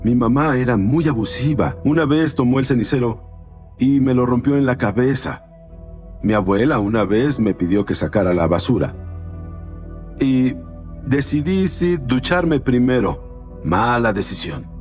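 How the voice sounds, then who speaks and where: A man's voice: medium pitch, expressive, darkish tone, faint music behind. Earnest man from Panama telling his story. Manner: earnest, halting with fillers. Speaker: guest.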